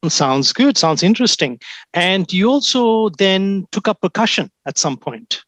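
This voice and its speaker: A man's voice: high voice, big pitch swings, a little slow, dry recording. A man hosting an interview, asking questions and often murmuring agreement. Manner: warm encouraging listener, many backchannels. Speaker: interview host. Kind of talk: casual interview.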